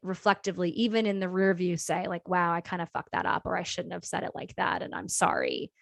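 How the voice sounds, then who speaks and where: Reflective low-voiced woman co-host. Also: flat delivery, vocal fry, no room sound. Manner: reflective, measured, thinks aloud. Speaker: podcast co-host. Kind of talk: casual chat.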